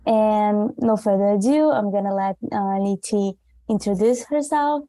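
A woman's voice: medium pitch, unhurried, mellow, dry recording. A young woman from Brazil, hosting an interview over a video call in a friendly, hesitant way with many fillers. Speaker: host.

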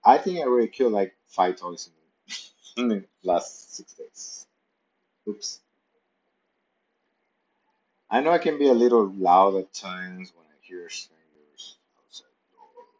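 A man's voice: lowish voice, expressive, normal speed, darkish tone, muffled recording. A man narrating a playful, rambling story in the first person from his pet's point of view. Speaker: pet owner narrating.

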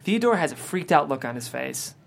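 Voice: medium pitch, sing-song, fairly quick, vocal fry, a little hiss or hum. A narrator reads stage directions and scene headings aloud at a script table read. The voice sits in a middle register. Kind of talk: reading screenplay stage directions.

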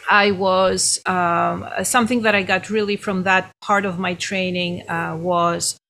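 A middle-aged woman from Greece with a Greek accent, a guest professor telling her story in a podcast interview in a thoughtful, measured way. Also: on the low side, flat delivery, gravelly, bright, forceful tone, a little hiss or hum. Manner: thoughtful, measured, laughs now and then.

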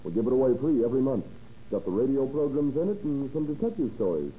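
An actor playing an enthusiastic, folksy service station owner, emphatic and persuasive, in a 1930s radio advertisement on a muffled old recording. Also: male voice, mid-range voice, sing-song, fast, warm tone, slight background noise, close to an everyday voice. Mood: eager, persuasive.

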